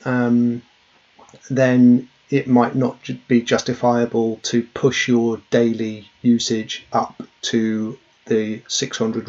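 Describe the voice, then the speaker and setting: Flat-voiced man explaining sums. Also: medium pitch. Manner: plain, steady explaining with frequent ums and uhs. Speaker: safety trainer. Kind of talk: explaining a worked example on a whiteboard. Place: small office, indoors. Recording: dull, lo-fi webcam audio.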